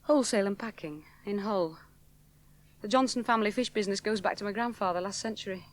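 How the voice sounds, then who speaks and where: An actress playing a flustered young pilot. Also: female voice, mid-range voice, fast talker, no echo, natural voice. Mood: plain. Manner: flustered, blunt northern speech. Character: young woman learner pilot from Hull, eager. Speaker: flying student. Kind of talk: 1970s radio drama acting.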